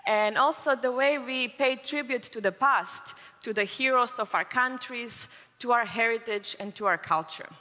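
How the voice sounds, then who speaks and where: Woman, from Croatia, conference keynote, clear bright tone, stage mic, reverberant hall. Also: fairly high pitch, normal speed. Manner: fluent, explanatory, with occasional fillers. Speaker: conference speaker.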